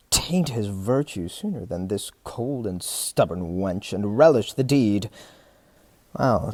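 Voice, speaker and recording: A man's voice: medium pitch, a little mellow, no room sound. A man playing a vain, lustful, scheming captain in a period audio drama, speaking in theatrical, formal old-fashioned language. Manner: theatrical, archaic formal diction. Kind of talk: period stage-play audio drama acting.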